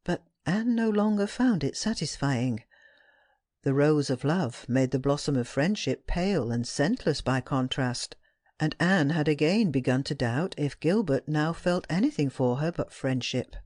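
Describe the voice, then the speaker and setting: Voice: medium pitch, lively intonation, a little slow, darkish tone, dry recording, studio quality. An audiobook narrator reading classic fiction expressively, with tender, dramatic storytelling.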